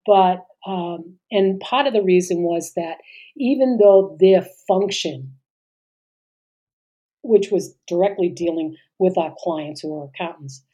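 A middle-aged woman with a mellow, fairly low voice co-hosts a podcast. She talks casually and haltingly, trails off, and fills gaps with "uh" and "you know". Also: slightly rough, dull audio. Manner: hesitant, trailing off, many fillers. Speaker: podcast co-host.

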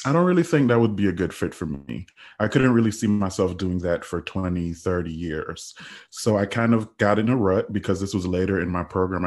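A man in his thirties from the Virgin Islands, speaking as a guest in a podcast interview in a measured, earnest way with frequent fillers. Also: low-pitched. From United States Virgin Islands. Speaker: guest, health policy analyst.

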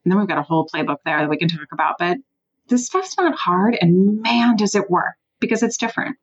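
A woman originally from Switzerland, a sales consultant guest giving emphatic, animated advice in an interview, with some casual swearing. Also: lowish voice, fairly quick, slightly rough, dull audio.

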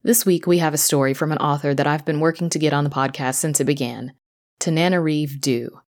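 A woman's voice: low-pitched, steady tone, fairly quick. A woman podcast host and producer delivering a scripted introduction to her show.

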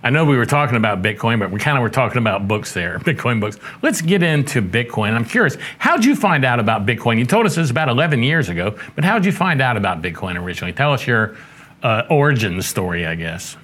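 A man's voice: medium pitch, sing-song, fast, fairly bright tone. A man hosting an interview show in a folksy way, often repeating himself and his questions. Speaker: show host.

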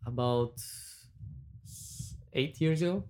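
Brazilian-accented man, podcast guest, chatty and rambling, mid-pitched everyday voice. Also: takes it slowly, mellow. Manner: chatty, rambling, with frequent restarts and laughs. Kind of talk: casual chat.

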